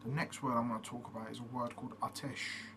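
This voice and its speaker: Flat-voiced man, noisy recording. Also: mid-range voice, fast talker. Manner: steady, plainly explaining, with frequent fillers. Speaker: channel host. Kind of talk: casual explanatory monologue. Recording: noticeable background noise.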